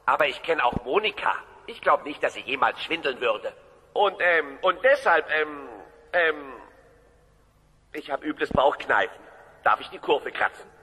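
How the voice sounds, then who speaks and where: An actor playing a nervous, hesitant dinosaur worker in a comedic audio play, speaking in a halting, flustered way. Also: male voice, on the high side, animated, bright, forceful tone, breathy edge, in a large hall, exaggerated voice, rough recording.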